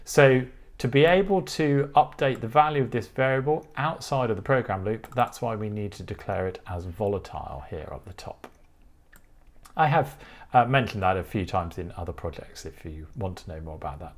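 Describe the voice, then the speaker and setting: A man's voice: fairly low pitch, expressive, moderate pace. A man presenting a technical tutorial, explaining step by step in a methodical way. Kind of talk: explanatory programming tutorial, semi-scripted walkthrough.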